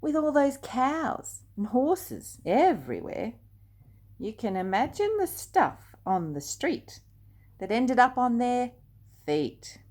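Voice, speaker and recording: An older woman reading a picture book aloud expressively and deliberately, speaking directly to young listeners. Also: a little high, wildly swooping pitch, darkish tone. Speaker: storyteller.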